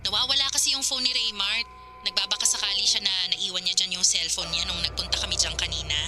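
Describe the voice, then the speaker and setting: A woman's voice: medium pitch, fairly even intonation, fast talker, music in the background, natural voice. An actress playing a worried, protective young friend in a Filipino radio drama, with urgency in her delivery. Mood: puzzled, concerned.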